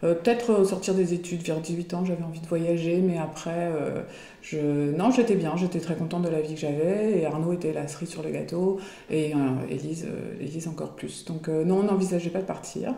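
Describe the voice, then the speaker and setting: Low gravelly woman. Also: steady tone, brisk, mellow, reverberant. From Belgium. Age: 40s. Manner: chatty, many hesitations. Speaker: guest. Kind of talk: casual interview chat.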